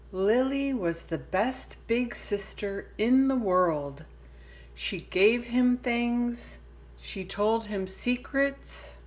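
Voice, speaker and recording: Female storybook reader, mid-pitched, unhurried and deliberate, pausing for emphasis. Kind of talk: children's picture book read-aloud.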